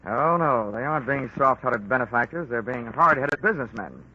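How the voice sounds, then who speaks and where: A man's voice: mid-range voice, animated, fairly quick, sharp tone, a little hiss or hum. A man playing a wisecracking insurance investigator who narrates a 1940s radio detective drama in a dry manner. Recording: lo-fi old radio recording with music.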